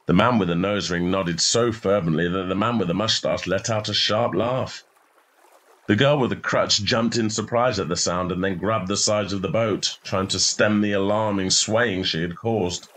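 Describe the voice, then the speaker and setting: A man's voice: fairly low pitch, moderate pace, fairly bright tone. A man reading a short story in a scripted narration style.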